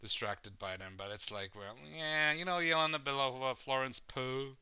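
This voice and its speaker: A man's voice: mid-range voice, normal speed, pushed and bright, clear, resonant tone, no room sound. A man hosting a casual podcast, rambling with lots of fillers and swearing. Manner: rambling, profane, filler-heavy. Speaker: podcast host.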